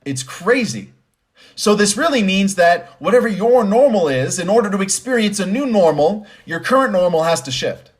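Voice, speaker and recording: A man's voice: sits high, expressive, moderate pace. A man giving conversational motivational commentary with personal stories, stressing points by repeating phrases. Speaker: host and commentator.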